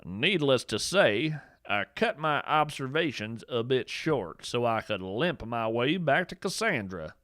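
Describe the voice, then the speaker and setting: A man acts out a folksy field naturalist with a very lively, swooping delivery, recorded dry and close. He tells whimsical tales of strange creatures with earnest enthusiasm, as in a podcast monologue. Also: mid-range voice, unhurried, no room sound. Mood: fascinated, wry. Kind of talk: first-person storytelling, fiction podcast monologue.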